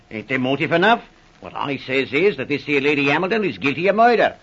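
Bullheaded male police officer, husky, blunt and rustic in his grammar, 1940s radio serial, muffled old recording. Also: medium pitch, big pitch swings, brisk, a bit bright, heavily acted. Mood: indignant bluster. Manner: blunt, indignant, rustic ungrammatical speech. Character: stubborn, blustering policeman eager to charge the suspect. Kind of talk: 1940s radio drama acting.

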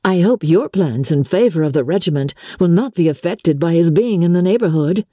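Female reader as spirited young period heroine: raised pitch, lilting and emphatic, outspoken, husky edge, classic novel reading. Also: animated, fairly quick, warm tone, dry recording, studio quality. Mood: candid. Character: spirited, outspoken young English gentlewoman, witty. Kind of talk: dramatic audiobook character reading.

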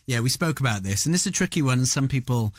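A man's voice: medium pitch, expressive, fast talker, dry recording, studio quality. A man in his forties from Cardiff, a guest broadcaster, chatting and mocking himself. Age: 40s.

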